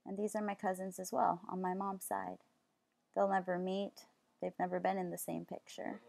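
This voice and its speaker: Young woman reading calm narration. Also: fairly low pitch, moderate pace, gravelly. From Los Angeles. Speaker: student filmmaker narrating. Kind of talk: scripted documentary narration.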